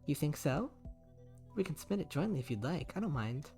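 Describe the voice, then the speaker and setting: A man voicing a timid young woman scientist who pleads softly with her father in a game reading. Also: a little high, big pitch swings, brisk, faint music behind, voice pushed up. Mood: earnest. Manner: soft, pleading, eager to please.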